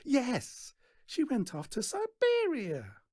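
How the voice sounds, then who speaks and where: A bitter young girl in a storybook, as a narrator puts her on, snappy and scornful. Her voice jumps high and swoops, with a husky edge as she yells her grievances. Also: wildly swooping pitch, slow, dry recording, studio quality, heavily acted. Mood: bitter, snapping. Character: bitter, sulky, explosive teenage daughter of a showman.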